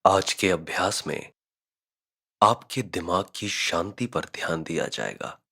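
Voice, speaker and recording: Slow, deep-voiced man guiding meditation. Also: animated, creaky, bright, forceful tone, husky. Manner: measured instructional delivery with frequent pauses. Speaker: guided meditation narrator. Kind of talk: scripted guided meditation narration.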